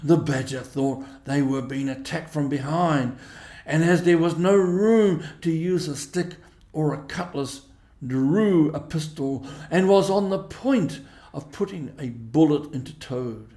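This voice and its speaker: A man narrating a storybook in a measured, expressive way. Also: on the high side, roomy sound. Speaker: audiobook reader.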